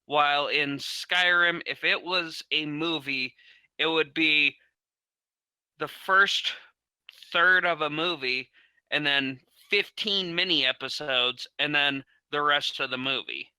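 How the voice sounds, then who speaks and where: A man's voice: a little high, takes it slowly, bright, forceful tone, smooth, no room sound, rough recording. A man thinking aloud somewhat tentatively in a casual podcast discussion as a panelist.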